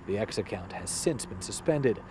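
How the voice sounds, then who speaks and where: A young man narrating a TV news story in a measured, clear reading voice. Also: on the low side, animated, mellow, a little hiss or hum. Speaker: news reporter.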